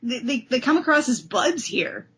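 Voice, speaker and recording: A woman's voice: high-pitched, very animated, normal speed, a bit bright, slight background noise. A woman hosting a casual discussion show, talking in an enthusiastic, effusive way. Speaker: podcast host.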